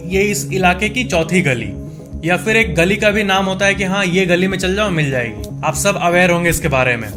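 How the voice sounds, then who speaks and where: An Indian man with a high voice narrates an explainer over a music bed. He talks conversationally, setting up questions and answering them himself, and slips English phrases into his speech. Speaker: presenter of a facts video. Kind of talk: explanatory narration in countdown format. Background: background music.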